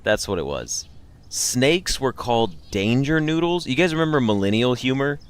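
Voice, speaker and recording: A man's voice: medium pitch, big pitch swings, moderate pace, smooth. A man hosting a livestream, chatting casually with viewers in a rambling, joking, slangy way. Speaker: livestream host. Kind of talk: casual chat with live stream viewers.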